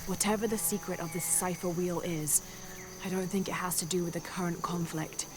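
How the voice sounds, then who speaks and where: A woman playing a determined, troubled young female adventurer in a video game cutscene, speaking earnestly and with measured thought. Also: lowish voice, fairly even intonation, background noise, lightly acted. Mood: pensive. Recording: game cutscene with music behind.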